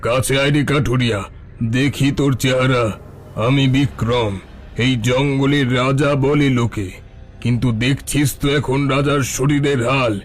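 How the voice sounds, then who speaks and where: A man's voice: mid-range voice, level tone, normal speed, soft background music, close to an everyday voice. A man as a weary cartoon bandit chief. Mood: weary, brooding. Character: weary, ailing bandit chief, self-styled king of the jungle. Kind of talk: cartoon voice acting.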